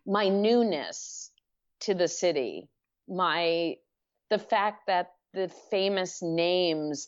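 A middle-aged woman speaking as an author in a podcast interview, thoughtful and measured, often restarting her sentences. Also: fairly low pitch. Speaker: author and guest. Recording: dull lo-fi podcast audio.

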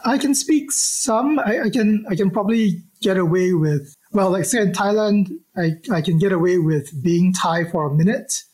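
A man from Singapore, answering interview questions about his work in a halting way with many restarts. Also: sits high, darkish tone. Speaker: archaeologist guest.

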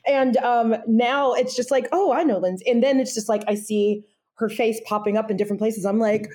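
A woman's voice: a little high, steady tone, moderate pace, warm tone. A woman co-hosting a podcast in casual chat, gushing and giggling, with many fillers. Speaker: podcast co-host.

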